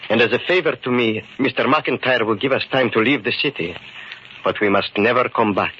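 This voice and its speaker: An actor playing a bewildered, earnest immigrant shoemaker in a radio drama, speaking formally and carefully. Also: male voice, mid-range voice, brisk, natural voice. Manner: formal, careful speech without contractions. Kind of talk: old-time radio drama acting. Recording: muffled, noisy vintage radio recording.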